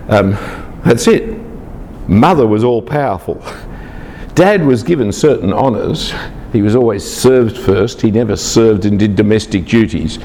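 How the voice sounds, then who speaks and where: A man's voice: fairly low pitch, darkish tone, in a large hall, slight background noise. A man from Australia, giving a lecture to a large group, chatty and teasing the audience.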